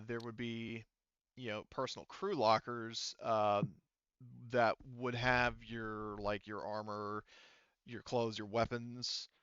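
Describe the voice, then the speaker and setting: A man speaking as game master, explaining a tabletop roleplaying game in a casual, halting way. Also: mid-range voice, a bit bright, clear, resonant tone, no room sound, muffled recording.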